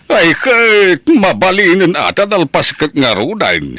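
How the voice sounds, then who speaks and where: Casting: male actor for scandalised elder village uncle, Ilocano accent, very lively melody, close dry sound, radio drama. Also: sits high, slow pace, no room sound, exaggerated voice. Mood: friendly, chatty. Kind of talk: amateur Ilocano radio-style drama acting.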